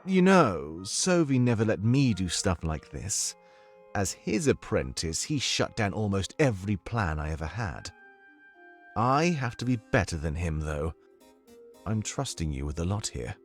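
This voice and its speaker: Man voicing a low, stern, scheming master. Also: very animated, measured pace. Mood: reflective, trusting. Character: scheming, stern male furry master of an apprentice. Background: game music.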